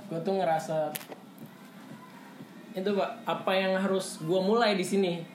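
A young man from Papua with a Jakarta accent, chatting as a podcast guest in a relaxed, slangy, storytelling way. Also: high voice, measured pace. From Papua, Indonesia. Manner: relaxed, slangy, animated retelling. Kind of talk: casual storytelling chat. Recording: echoey room, faint music.

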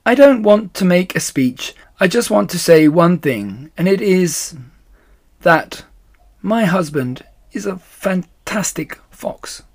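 A man voicing a gentle, shy, devoted mother fox in a children's story, tender and earnest. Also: high-pitched, lively intonation, slow, pitched above the performer's own voice, exaggerated voice. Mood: shy admiration.

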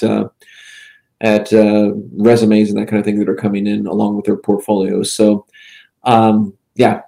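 A man in his fifties, a game studio head and guest, giving relaxed interview answers with many "uh" and "um" pauses. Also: lowish voice, gravelly. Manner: halting, full of "uh" and "um" fillers.

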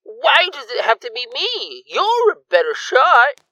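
A woman's voice: high-pitched, huge pitch swings, measured pace, pushed and bright, no room sound, exaggerated voice. A woman playing a cautious, reluctant starship crew member in an audio drama. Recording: dry, slightly dull recording.

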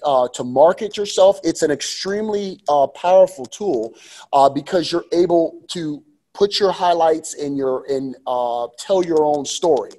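A man's voice: on the high side, a little slow, mellow. A man in his forties speaking as a guest coach in a casual interview, enthusiastic and emphatic, repeating phrases to drive them home. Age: 40s.

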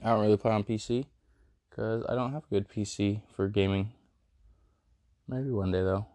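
A man's voice: on the low side, fairly even intonation, normal speed, dark tone, dry recording, clean studio sound. A young man hosting his podcast, a bit congested from a cold, rambling casually with lots of fillers. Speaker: podcast host. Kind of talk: casual late-night podcast chat. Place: a house with dogs.

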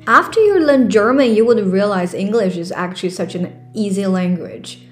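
A woman's voice: medium pitch, normal speed. Young Chinese woman chatting over guitar. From China. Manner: chatty, earnest, with frequent fillers and restarts. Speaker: podcast host. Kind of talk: casual solo podcast talk.

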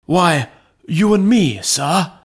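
A man voicing an agitated, deferential elderly butler in an audiobook, loyal and frightened, speaking haltingly and emphatically. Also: mid-range voice, animated, slightly rough, voice pushed up. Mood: eager, resolute. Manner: halting, emphatic, servile address. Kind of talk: dramatized audiobook reading.

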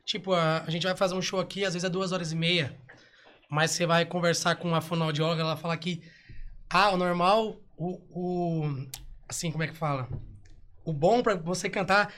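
A man who sings in a duo, chatting casually and using relaxed slang in an interview. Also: sits high, moderate pace. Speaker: singer in a duo.

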